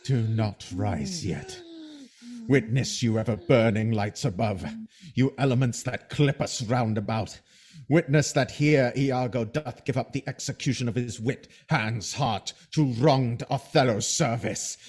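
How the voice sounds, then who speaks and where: An actor playing a scheming soldier who feigns devoted loyalty, solemn and measured, in a Shakespearean audio drama. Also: male voice, medium pitch, darkish tone, breathy edge.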